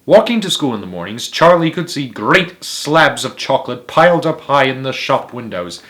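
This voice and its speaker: A man narrating a children's storybook aloud in a theatrical, emphatic way, with dramatic pauses and stretched words. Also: mid-range voice, sing-song, a bit bright. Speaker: host of a read-aloud story series.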